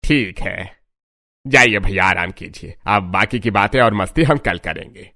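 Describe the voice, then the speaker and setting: Man acting bright low-voiced genial father-in-law. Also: a little slow, gravelly, dry recording, clean studio sound, heavily acted. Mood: relaxed. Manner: genial, paternal. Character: genial middle-aged father-in-law, mildly chiding and encouraging. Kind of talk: Hindi cartoon story character acting.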